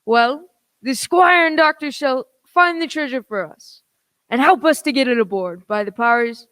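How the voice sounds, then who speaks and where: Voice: sits high, moderate pace, a bit bright, clean tone, no room sound. An actor playing a wily old one-legged pirate sea cook in a radio play, speaking in folksy, cajoling pirate dialect. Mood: scheming, forceful.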